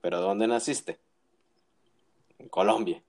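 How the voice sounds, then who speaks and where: A man's voice: lowish voice, slow, creaky, a little mellow. A man co-hosting a casual wrestling chat, giving opinions with frequent restarts and tag questions. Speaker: wrestling podcast co-host.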